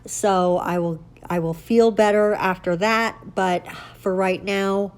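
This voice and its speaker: A woman's voice: medium pitch, fairly even intonation, slow pace, smooth. A woman with a stuffy nose from allergies, hosting her nail art channel in an upbeat, chatty tutorial style. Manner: chatty, upbeat, self-correcting. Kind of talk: casual tutorial chat.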